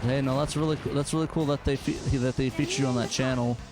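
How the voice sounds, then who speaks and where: A man's voice: mid-range voice, fairly even intonation, fast talker. A man hosting a casual game chat, talking in an informal, conversational way. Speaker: show host. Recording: music playing behind the voice. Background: music.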